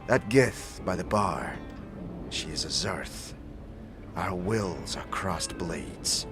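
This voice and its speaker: An actor playing a solemn, terse alien warrior in a fantasy game, with clipped, formal, archaic phrasing. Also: male voice, low voice, animated, takes it slowly, music in the background, full character voice. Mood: grave, guarded. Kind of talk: fantasy video game acting.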